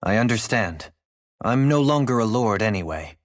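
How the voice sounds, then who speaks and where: An actor playing a composed, courtly and dryly humble former lord in a video game cutscene. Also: male voice, on the low side, animated, moderate pace, close to an everyday voice. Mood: quiet, accepting.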